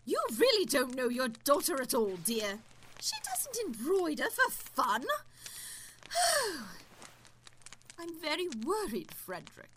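A woman's voice: high voice, wildly swooping pitch, slow, long pauses, a bit bright, a little hiss or hum, exaggerated voice. A woman playing a fretful, scolding, snobbish middle-aged noble mother in an audio drama. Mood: anxious, reproachful.

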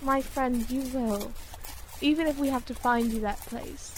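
A woman playing a loyal young blacksmith in an audio drama, calm and reassuring in manner. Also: a little high, unhurried, a little hiss or hum, natural voice.

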